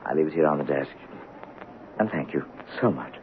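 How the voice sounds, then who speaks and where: An actor playing a soft-spoken writer in an old radio drama, polite and prone to stammering. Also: male voice, rumbling low, expressive, moderate pace, narrow, tinny audio. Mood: polite, hesitant. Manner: hesitant, stammering and apologetic. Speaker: Robin Graves, a writer. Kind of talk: 1970s radio drama acting. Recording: old radio broadcast, phone-thin with hiss.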